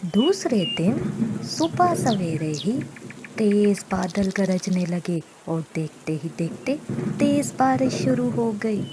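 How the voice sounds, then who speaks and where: A woman's voice: medium pitch, heavy background noise. A woman narrating a scripted moral story for children as a storyteller.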